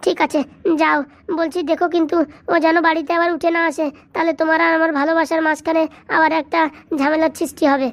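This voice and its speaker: Woman voicing a very high-pitched anxious cartoon wife. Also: level tone, quick pace. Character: anxious, nagging young village wife. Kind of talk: dubbed comedy cartoon dialogue.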